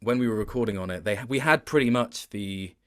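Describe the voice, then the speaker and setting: A man's voice: fairly low pitch, brisk. A man speaking as an interview guest in a casual, conversational style. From Wales.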